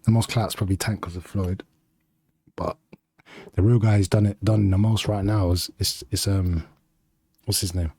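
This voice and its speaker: A man's voice: low voice, steady tone, moderate pace, long pauses, mellow, husky. A man hosting a podcast in casual chat, slangy and rambling, sniffly from hay fever. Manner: slangy, rambling, laughs easily. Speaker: podcast host.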